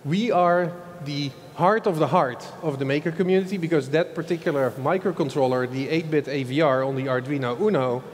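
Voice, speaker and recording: A man's voice: a little high, a little fast, hardly any pauses. Dutch-accented man speaking in a reverberant hall. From Netherlands. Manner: loose, chatty, tags lines with "huh?". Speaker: marketing executive giving a conference talk. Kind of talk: conference presentation. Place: large old event hall. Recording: long hall reverb, faint background noise.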